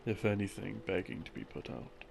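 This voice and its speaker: A man telling a story in a rambling, intimate boyfriend-roleplay style, halting and musing as his thoughts trail off. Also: lowish voice, animated, gravelly, a little mellow, slight background noise. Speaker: audio roleplay performer playing a boyfriend. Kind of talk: rambling, improvised intimate storytelling of game lore. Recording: music behind the voice. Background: slow game theme music.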